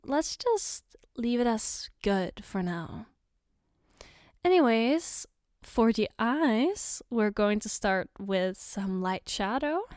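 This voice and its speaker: Affectionate girlfriend, acted by a woman, soft-spoken ASMR roleplay, slightly high voice, clean smooth tone, reassuring, playfully coaxing. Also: big pitch swings, slow pace, no echo, clean studio sound. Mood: gentle, attentive. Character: affectionate, gently teasing girlfriend doing her partner's makeup.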